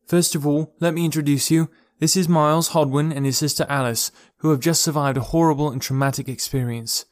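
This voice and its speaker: A man doing an earnest young woman, urgent pleading tone, higher lifted voice, dry close sound. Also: fairly even intonation, a little fast, dry recording, close to an everyday voice. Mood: solemn. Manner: raised, earnest and pleading delivery.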